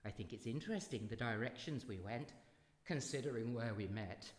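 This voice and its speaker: A man playing a suave, articulate, theatrical character in a live radio drama, speaking deliberately. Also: mid-range voice, lightly acted. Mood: teasing flattery. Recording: echoey hall, live stage.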